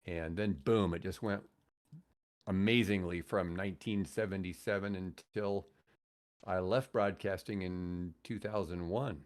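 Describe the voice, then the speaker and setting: Low-voiced man in his sixties telling stories. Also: fairly even intonation, a little slow. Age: 60s. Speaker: podcast guest, former radio broadcaster. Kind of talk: casual storytelling in a podcast interview.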